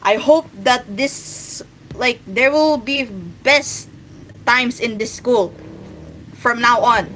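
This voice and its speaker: A woman's voice: fairly high pitch, takes it slowly, a bit bright, slight background noise, rough recording. A woman from the Philippines with a Filipino accent, chatting casually on a livestream while she draws, rambling with frequent restarts. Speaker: streamer.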